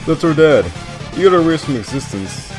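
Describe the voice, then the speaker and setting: A man voicing a laid-back, sardonic skeleton with a casual deadpan manner and a veiled threat, for a cartoon comic dub. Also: on the high side, moderate pace, over loud music, lightly acted. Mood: wry.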